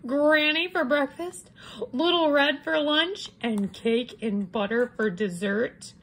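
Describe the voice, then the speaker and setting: A woman's voice: high-pitched, slow, a bit bright, pitched above the performer's own voice, full character voice, low-quality recording. A woman voicing a sly, hungry big bad wolf who tells his side with theatrical mock innocence in a children's read-aloud. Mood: scheming.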